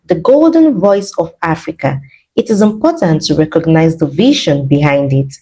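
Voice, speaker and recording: Lively low-pitched Nigerian woman presenter. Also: rough recording. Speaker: presenter of an arts news programme. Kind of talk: scripted news narration.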